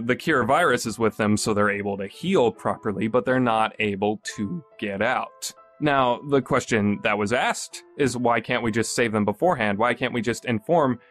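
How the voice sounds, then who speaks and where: A man's voice: medium pitch, fairly bright tone. A man hosting a podcast, giving a rambling, casual review full of restarts and self-corrections. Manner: rambling, many restarts and fillers, self-deprecating. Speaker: podcast host reviewing a visual novel.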